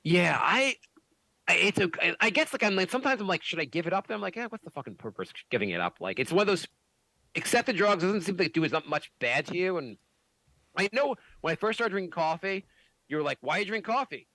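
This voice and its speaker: A man hosting a podcast, chatting casually, animated and swearing often with many restarts. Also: sits high, fast talker, no echo, poor audio. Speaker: podcast host. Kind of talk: casual chat.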